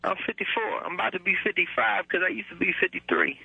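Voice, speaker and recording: A man's voice: sits high, fairly even intonation, fast talker, creaky, breathy edge, no room sound, heavily acted. A man voicing a blunt, touchy Las Vegas caller in his fifties, talking in a defensive, ungrammatical drawl over a phone line. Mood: plainspoken. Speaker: recurring radio caller.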